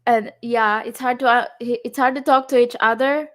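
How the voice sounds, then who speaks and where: A woman's voice: fairly high pitch, steady tone, normal speed, fairly bright tone. A woman with a Filipino accent chatting casually as a co-host, hesitant and often searching for words. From Philippines. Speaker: vlog co-host. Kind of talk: casual unscripted chat.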